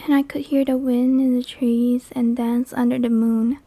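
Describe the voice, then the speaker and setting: A woman voicing a lonely, clingy female sleep paralysis demon in an ASMR roleplay, soft and breathy, hesitant and intimate, often near a whisper, close to the microphone. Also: fairly high pitch, little pitch movement, normal speed, no room sound. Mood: tender, hopeful. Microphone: close microphone, dry.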